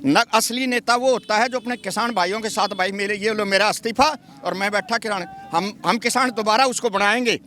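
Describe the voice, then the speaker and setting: A man's voice: sits high, a little fast, hardly any pauses, sharp tone, slight background noise. An older man from Haryana, a protesting farmer, arguing his points emphatically. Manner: emphatic, argumentative.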